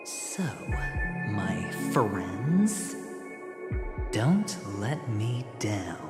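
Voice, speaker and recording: A suave, confident gambler businessman from a video game, theatrical and persuasive. His pitch swings expressively over slow, deliberate phrases, with long reverb and loud music around him. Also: big pitch swings, full character voice. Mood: bold, persuasive.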